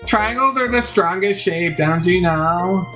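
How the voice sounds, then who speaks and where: A man voicing a dramatic, indignant young female student in a video game, with drawn-out whining delivery. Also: high-pitched, sharp tone, soft background music, voice pushed up. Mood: angry, sarcastic.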